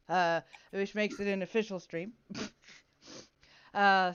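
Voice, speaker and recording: Clear-voiced woman hosting, slightly muffled mic. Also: mid-range voice, fairly bright tone, no room sound. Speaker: stream host. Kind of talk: casual livestream chat and read-out show introductions.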